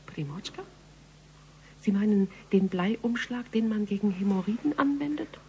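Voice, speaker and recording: An actress playing a wry, determined police investigator in a radio crime drama, with a hushed, pensive delivery. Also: female voice, mid-range voice, expressive, normal speed, close to an everyday voice. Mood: wry, resolute. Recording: clear background noise.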